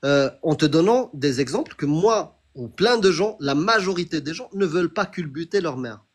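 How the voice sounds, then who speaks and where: A man's voice: fairly high pitch, animated, normal speed. A young man hosting a debate, pressing his point insistently and addressing the other as a brother. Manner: challenging, insistent, calls the other "frérot". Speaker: host of a debate stream. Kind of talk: heated debate on religion.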